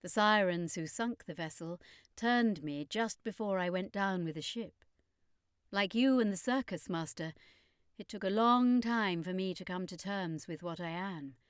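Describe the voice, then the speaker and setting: An elegant female siren of an audiobook fantasy, played by a woman narrator. She speaks posh, refined English in a measured way, with a wistful temper. The sound is dry and close. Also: mid-range voice, clear, resonant tone, no room sound, natural voice. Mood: wistful, candid.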